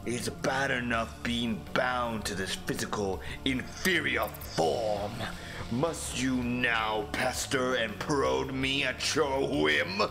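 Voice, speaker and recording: A man voicing an arrogant storm-spirit boss monster from a video game, in a slow, booming, haughty way. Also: gravelly. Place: small home room. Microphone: studio mic on a boom stand. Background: game music.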